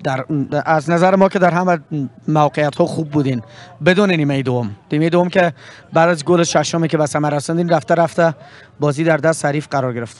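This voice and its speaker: A young man with an Afghan Dari accent, a sports presenter interviewing after a match and signing off with a handheld mic outdoors. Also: on the high side. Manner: formal, fluent broadcaster delivery. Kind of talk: post-match interview and sign-off. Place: outdoor pitchside sponsor backdrop.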